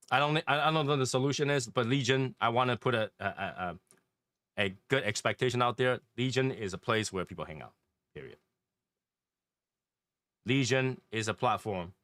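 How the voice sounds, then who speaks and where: A man's voice: on the low side, fairly bright tone, no room sound. A man with a Taiwanese accent hosting a casual group chat, often repeating phrases for emphasis. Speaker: host and leader of an online cigar community.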